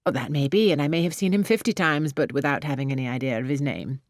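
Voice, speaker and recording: Woman as snobbish young upper-class English lady, audiobook: low, measured, self-assured, dry close recording. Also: dry recording. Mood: haughty, dismissive. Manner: measured, condescending, poised. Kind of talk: classic novel audiobook character voice.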